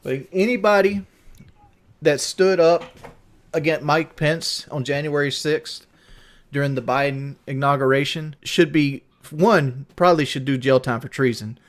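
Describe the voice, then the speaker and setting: A man's voice: a little high, a little slow. Fired-up, ranting streamer man. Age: 30s. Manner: emphatic, profane, rambling with restarts. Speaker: streamer in a clipped video. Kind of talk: heated political rant.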